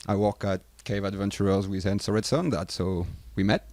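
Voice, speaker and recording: Low-voiced Frenchman explaining calmly. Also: moderate pace, no room sound. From France. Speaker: guest, cave diving instructor. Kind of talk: casual interview.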